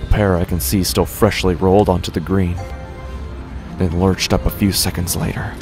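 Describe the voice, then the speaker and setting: A man playing a desperate, unraveling gambler, narrating a horror audio drama in the first person with halting, haunted, dramatic delivery. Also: sits low, level tone, vocal fry, a little mellow, faint music behind. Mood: shaken, frightened.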